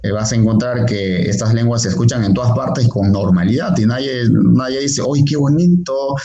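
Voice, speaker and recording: A man's voice: medium pitch, moderate pace, dark tone. A man, a Quechua teacher and translator, explaining earnestly as an interview guest, with many fillers and restarts. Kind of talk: interview over a call, explaining. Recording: echoey, dull lo-fi call audio.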